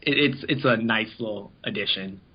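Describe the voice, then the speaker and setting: A man's voice: lowish voice, normal speed, dull audio, a little hiss or hum. A man chatting casually as an interview guest, telling anecdotes in a rambling, informal way and acting out what people said. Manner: rambling, informal, acts out quoted remarks. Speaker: interview guest, amateur voice actor in an abridged anime series.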